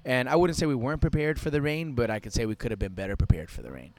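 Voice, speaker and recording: Easygoing man, mid-low voice, smooth tone; casual podcast guest, joking, lots of fillers. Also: fast, dry recording. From United States, Cuban family. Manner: relaxed, joking, with many fillers. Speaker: co-founder of a fitness festival, podcast guest.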